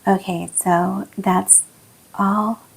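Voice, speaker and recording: A woman with a hoarse, fading voice, chatting casually and softly as a plant channel host while she repots. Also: medium pitch, extremely slow, poor audio. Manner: relaxed, soft-spoken, many fillers. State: hoarse, losing her voice. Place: indoor plant room.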